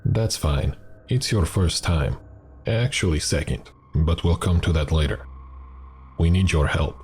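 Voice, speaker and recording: A man voicing an excitable, persuasive Russian man with a thick accent in a narrated story. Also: deep voice, measured pace, a little hiss or hum. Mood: earnest.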